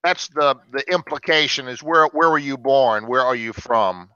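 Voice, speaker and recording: An older man from Alabama leads an English conversation group, explaining with slow, patient examples. His voice is bright and crisp. The recording is dry and a little dull. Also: mid-range voice, no echo. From Alabama, United States. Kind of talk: explaining to learners in a discussion group.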